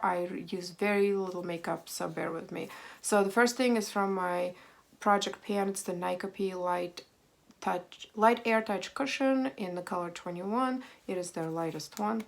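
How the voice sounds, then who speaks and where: A woman in her thirties, hoarse and a little raspy from a cold, chatting casually and self-deprecatingly as she walks through her makeup routine at home. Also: medium pitch. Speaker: beauty vlogger. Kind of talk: casual get-ready-with-me chat.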